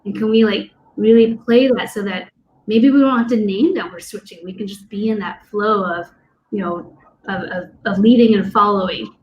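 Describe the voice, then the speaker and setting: A woman's voice: medium pitch, moderate pace, highs cut off, a little hiss or hum. A woman coach who teaches dance, talking loosely with lots of fillers and restarts on a video call panel. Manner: rambling, many fillers and restarts. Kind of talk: casual panel discussion.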